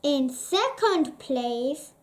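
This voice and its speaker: A reader voicing a silly, ceremonious storybook king who makes measured, building announcements. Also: high-pitched, animated, full character voice. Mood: proclaiming.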